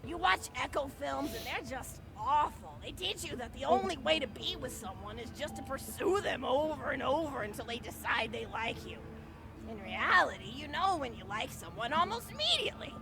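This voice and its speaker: Sweet, animated old granny. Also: female voice, sits high, normal speed, poor audio. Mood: chatty, earnest. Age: older. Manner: rambling, gushing, folksy. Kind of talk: animated video game storytelling. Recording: game music behind the voice. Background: background music.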